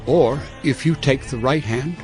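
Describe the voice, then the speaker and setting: A man's voice: medium pitch, measured pace, darkish tone, faint music behind, natural voice. A man playing Abram as an earnest, conciliatory patriarch in a dramatized scripture reading. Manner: earnest, declamatory appeal.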